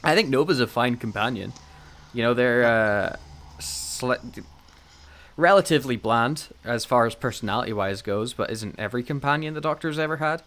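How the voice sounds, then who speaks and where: A man with a medium-pitched voice talks casually as a podcast co-host. He is wry and jokey as he gives his opinions. Laughter comes easily to him. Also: normal speed, fairly bright tone. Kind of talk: casual chat reviewing audio dramas.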